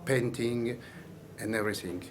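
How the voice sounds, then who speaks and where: A man with a French accent, giving a polite, halting and philosophical interview as a product maker. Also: mid-range voice, steady tone, a crowd in the background. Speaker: amplifier maker being interviewed. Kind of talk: casual interview. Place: indoor trade show demo room. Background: guitar music.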